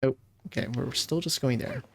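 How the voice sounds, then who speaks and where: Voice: medium pitch, moderate pace, creaky, a little hiss or hum. A game streamer giving casual live commentary, with chatty asides to viewers and to the characters on screen.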